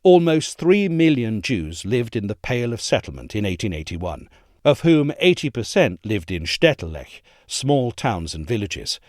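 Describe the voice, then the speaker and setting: A man reading an essay aloud as a narrator, in a measured, articulate and formal delivery. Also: lowish voice, big pitch swings, dry recording, studio quality.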